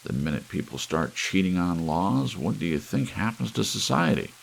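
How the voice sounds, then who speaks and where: Man acting a gravelly, resigned husband. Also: low voice, very animated, normal speed, a little hiss or hum, full character voice. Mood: arguing mildly. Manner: blank, matter-of-fact. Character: weary, slow-witted, resigned husband.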